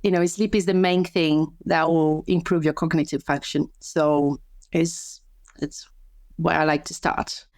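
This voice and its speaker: Smooth-voiced Brazilian-born woman, lowish and dry. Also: steady tone, a little slow, a little mellow, no room sound. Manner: chatty, restarts sentences, laughs easily. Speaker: podcast guest, sleep health coach. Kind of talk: casual interview chat.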